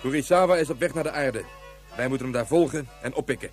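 An actor playing a curt, commanding colonel who gives clipped orders in a radio play. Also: male voice, mid-range voice, quick pace, soft background music, lightly acted.

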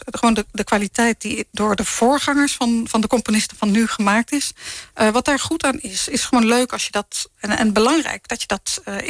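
A woman's voice: on the high side, dry recording. A woman with a Dutch accent from the Netherlands, explaining as an expert guest, thoughtfully searching for her words. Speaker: musicologist, interview guest. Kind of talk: spontaneous explanatory interview talk.

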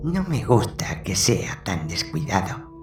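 A man's voice: low-pitched, sing-song, takes it slowly, full character voice. A man playing a fussy, disapproving older landlady in an audio drama, with a scolding manner. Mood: disgusted scolding. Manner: scolding, displeased. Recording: distant, echoey room, music behind.

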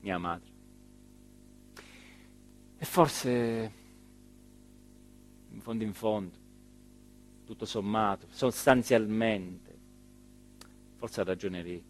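An actor playing a rueful, self-important small-town mayor in a rambling, hesitant theatrical monologue. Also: male voice, fairly low pitch, soft background music. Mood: wistful, resigned. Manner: rambling, hesitant, emphatic, with repeated phrases and pauses. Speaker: stage actor performing a radio theatre monologue.

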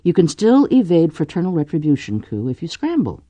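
A woman voicing a brisk, commanding noblewoman who plays matchmaker with wry teasing. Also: on the low side, wildly swooping pitch, dark tone, no echo, pitched above the performer's own voice.

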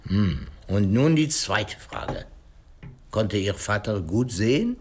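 A man's voice: fairly low pitch, lively intonation, a little hiss or hum. Polite clever detective man in a radio play, musing and formal, voice goes up and down loads. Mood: probing. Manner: formal, deliberate, French phrases and musing hesitations. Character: shrewd, courteous male detective, pensive and probing.

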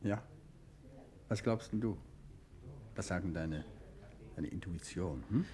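An actor playing a composed, sharp-witted male detective in a 1950s radio play, with a dry, understated, teasing manner. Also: low-pitched, slow. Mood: relaxed. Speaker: detective husband.

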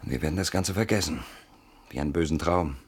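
An actor playing an anxious, defensive husband in a classic German radio play, agitated, stubborn and sardonic, with dramatic pauses and repeated words. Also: male voice, very deep voice, breathy edge. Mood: agitated, insistent. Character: anxious, defensive husband barricaded behind his door.

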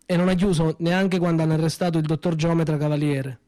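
A young adult man, performing a first-person stage monologue in a conversational, hesitant way, repeating words and dropping softly at times. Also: on the high side, level tone, fast.

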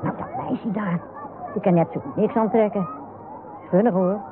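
An actress playing a prim, grumbling housekeeper in a radio comedy serial, exclaiming in a fussy, indignant way. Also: female voice, medium pitch, moderate pace, crowd noise, natural voice. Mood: disapproving. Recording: tinny narrow-band radio recording, noisy outdoor ambience.

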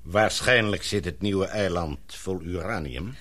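Deep-voiced, authoritative older father. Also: unhurried, sharp tone, heavily acted. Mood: serious, brisk. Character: older authoritative English knighted father, gloomy yet affectionate. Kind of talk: radio drama acting.

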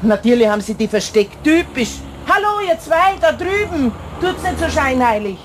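A woman's voice: high-pitched, very animated, normal speed, slight background noise. A woman playing an indignant elderly passer-by in a children's radio play, grumbling and accusing in a Bavarian accent.

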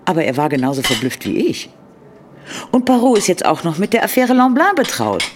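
An actress playing a discreet secretary confiding insider information in a conversational radio drama scene. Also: female voice, lowish voice, wildly swooping pitch, a little fast. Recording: clattering dishes, noisy room. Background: clinking dishes.

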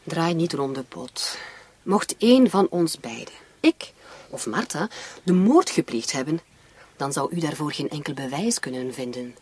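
An actress playing a bitter, defiant young woman in a radio play, speaking curtly and sarcastically with a husky voice. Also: deep voice, animated, unhurried, a little mellow, full character voice. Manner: curt, sarcastic.

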